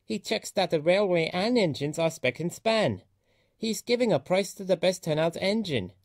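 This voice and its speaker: Man acting out a big male storybook engine, know-it-all, teasing, scolding, raised lively pitch. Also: normal speed.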